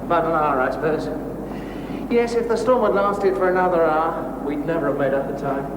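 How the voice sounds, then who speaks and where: An actor playing a courteous ship's officer who speaks in a measured way in a television drama. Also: male voice, fairly high pitch, close to an everyday voice. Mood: polite. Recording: roomy echo with clear noise.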